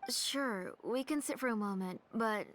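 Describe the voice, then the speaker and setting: A woman's voice: on the high side, unhurried, fairly bright tone, a little hiss or hum. A woman voicing a composed, caring but firm mother in a video game. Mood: polite.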